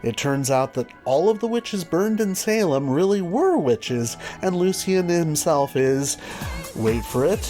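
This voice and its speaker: A man's voice: fairly high pitch, expressive, measured pace, dark tone. A man presenting a scripted video review as host, with wry, dry asides. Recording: music behind the voice. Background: background music.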